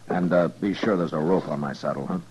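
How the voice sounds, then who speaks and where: A man acting a calm, dogged frontier marshal in a 1950s radio western, speaking in a halting, understated, dry way. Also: deep voice, slightly rough. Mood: dry, commanding. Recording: noisy vintage radio drama recording.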